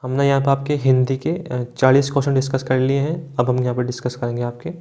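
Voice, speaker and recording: A man's voice: mid-range voice, level tone, quick pace, dark tone. A man teaching an online exam class, explaining question by question, repeating points and often adding short check-in tags. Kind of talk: online class working through multiple-choice questions.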